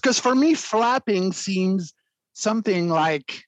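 A man, a guest vocalist, explaining extreme singing in a conversational interview, clearing his throat often between demonstrations. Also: high-pitched, expressive, takes it slowly, no echo.

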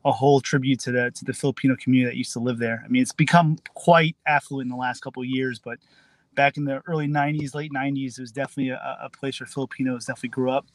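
Fast-talking New man. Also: medium pitch, flat delivery, no room sound. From New Jersey, USA. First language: Tagalog. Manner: earnest, quick, repeats words. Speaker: guest. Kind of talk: casual interview chat.

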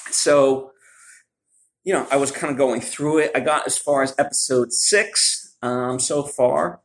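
A man's voice: mid-range voice, a bit bright. Casual, reflective man vlogging. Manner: conversational, halting, often seeking agreement with "right?". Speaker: vlogger, writer. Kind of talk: casual reflective vlog monologue.

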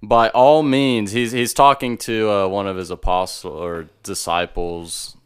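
A young man co-hosting a casual podcast, thinking out loud in long, rambling explanations. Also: fairly low pitch, fairly even intonation, measured pace, clear, resonant tone. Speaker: podcast co-host. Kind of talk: casual chat.

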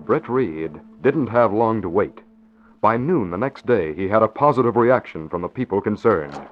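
A man narrating a 1940s radio drama in a measured, formal announcer style. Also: on the low side, animated. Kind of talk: scripted narration. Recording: dull lo-fi old radio recording with music.

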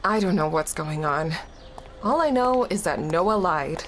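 An actress playing a sassy, protective young friend in an audio drama, brash and confrontational. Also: female voice, on the low side, expressive, a little slow, slight background noise. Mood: concerned.

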